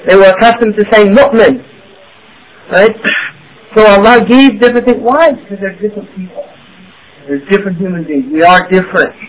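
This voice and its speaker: Man, high voice, religious teacher, lecture, rhetorical questions. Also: poor audio. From United States. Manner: rhetorical questions, frequent "right?". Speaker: Islamic teacher. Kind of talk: lecture to an audience.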